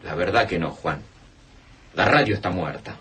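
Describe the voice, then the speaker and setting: A man's voice: deep voice, lively intonation, moderate pace, fairly bright tone, a little hiss or hum. An actor playing a resourceful male friend who explains things plainly in a radio play. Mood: somber. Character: resourceful man, friend bringing supplies. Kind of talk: radio drama acting.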